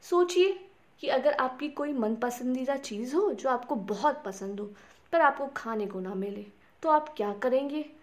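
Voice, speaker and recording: A woman's voice: high-pitched, expressive, measured pace. A woman narrating a scripted bedtime story for children, speaking to them directly in simple words. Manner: addresses small children directly with simple questions and repeated words.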